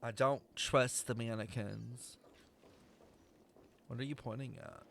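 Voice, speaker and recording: A young man streaming a video game with casual live commentary, expressive, slangy and swearing freely. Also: medium pitch, slow pace, gravelly, slight background noise.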